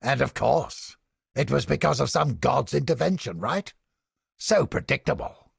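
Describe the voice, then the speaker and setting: A man playing a cynical, sardonic, smugly godless inquisitor scholar in a video game, with a gravelly, husky voice and deliberate, formal, theatrical delivery. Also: medium pitch, lively intonation, dry recording, clean studio sound, exaggerated voice. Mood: dry, probing. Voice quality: gravelly, husky, breathy edge.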